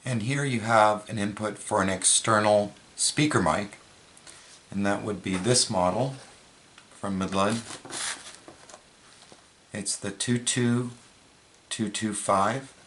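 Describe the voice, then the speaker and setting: Low-voiced man explaining gear step by step. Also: takes it slowly, long pauses, a bit bright, slight background noise. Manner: plain, step-by-step, matter-of-fact. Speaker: product reviewer, presenter. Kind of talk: hands-on product demonstration, explanatory.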